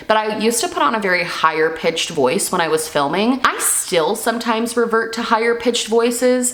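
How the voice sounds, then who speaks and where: A young woman reacting to her old videos at home, chatty with many "like" fillers, her pitch swinging as her feelings change. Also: a bit bright, echoey room. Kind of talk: casual reaction chat.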